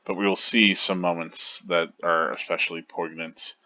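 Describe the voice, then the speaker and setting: A man's voice: sits low, little pitch movement, normal speed, sharp tone, no echo, rough recording. A man giving casual, rambling commentary on manga pages, full of fillers and restarts. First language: not Japanese. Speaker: video essayist.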